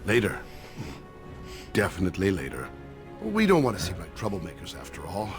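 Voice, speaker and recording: An actor playing a gentle, worried older elf father in a video game, speaking in an earnest, cautioning way. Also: deep voice, wildly swooping pitch, moderate pace, music in the background, heavily acted. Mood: solemn, tender.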